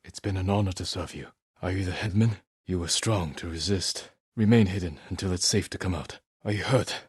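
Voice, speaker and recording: Low, husky stoic samurai. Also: male voice, no room sound, clean studio sound. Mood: hushed, grave. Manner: measured, solemn, clipped short sentences. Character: honourable, stoic samurai warrior, grimly resolute leader. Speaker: video game lead character. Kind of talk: dramatic video game voice acting.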